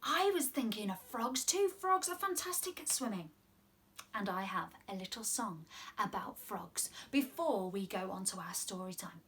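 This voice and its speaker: A British woman in her twenties hosting children's story time, speaking in an animated, sing-song way with exaggerated expression. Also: medium pitch, normal speed, a little hiss or hum. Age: 20s. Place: small room at home, indoors.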